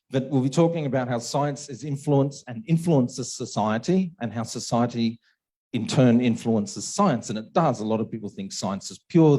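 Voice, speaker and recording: A man's voice: mid-range voice, warm tone, low-quality recording. A man lecturing on a university module at an open house, talking with a sore throat and a tired voice, checking in often with "okay" and "right". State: sore throat, losing his voice.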